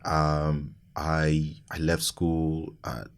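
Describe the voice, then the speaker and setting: A man in his thirties from Nigeria speaks as an interview guest in an extremely deep voice. He talks haltingly, often pausing on ums and restarting. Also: completely flat delivery, clear, resonant tone. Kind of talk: personal storytelling in an interview.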